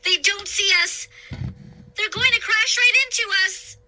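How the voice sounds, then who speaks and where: A woman doing a frantic shipwrecked girl, shouting high. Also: takes it slowly, full character voice, poor audio. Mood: panicked. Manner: shouting desperately. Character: frightened young girl shipwrecked at sea.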